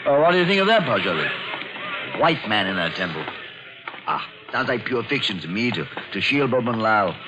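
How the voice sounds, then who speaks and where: An actor playing an eager, talkative colonial man who hosts a visitor, in a 1940s radio drama, quick to jump to conclusions. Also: on the low side, big pitch swings, over music. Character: chatty, excitable colonial man, a keen host. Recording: dull lo-fi 1940s radio recording.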